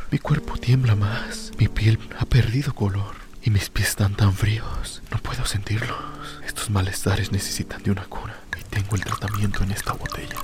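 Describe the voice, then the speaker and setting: An actor playing a lonely, tormented drunk sinking into fear and madness in a brooding, theatrical radio drama monologue. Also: male voice, on the low side, noisy background, natural voice. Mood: weary, shaky. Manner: brooding, theatrical first-person soliloquy.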